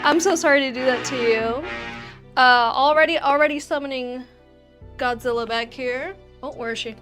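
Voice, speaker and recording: A woman's voice: high-pitched, normal speed, a bit bright, soft background music. A young woman from Argentina hosting a casual livestream, chatting with viewers in a self-teasing way and laughing often. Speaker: livestream host.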